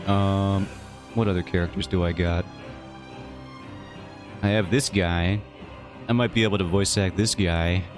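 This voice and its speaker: A man's voice: deep voice, steady tone, measured pace, pauses often. A man streaming while he draws his webcomic, who rambles in a casual, self-mocking and jokey way. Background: faint background music.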